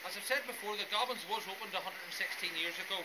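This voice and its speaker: A man with a high voice gives a guided tour talk as the guide. He is outdoors on a coastal path, and loud noise covers the camera recording. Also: rapid-fire. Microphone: camera among the group.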